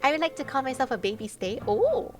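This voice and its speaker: A young woman chats casually as a livestream host, with game music clearly behind her. Her voice is fairly high. She talks in a playful, giggly way and exclaims readily. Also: big pitch swings, quick pace. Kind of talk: casual chat with stream viewers over a game.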